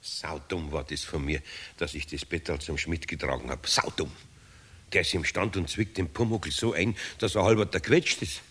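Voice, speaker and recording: An actor playing a gruff but kindly older master craftsman with a Bavarian accent and a husky voice in a children's radio play. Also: low-pitched, moderate pace, fairly bright tone. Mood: rueful, muttering.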